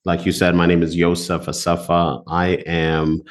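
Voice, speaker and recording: A man from Ethiopia in his thirties, a loan officer answering interview questions in a relaxed way, with many filler pauses. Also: low voice.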